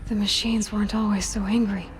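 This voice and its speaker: An actress playing an earnest young hunter. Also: female voice, mid-range voice, level tone, fairly quick, slight background noise, lightly acted.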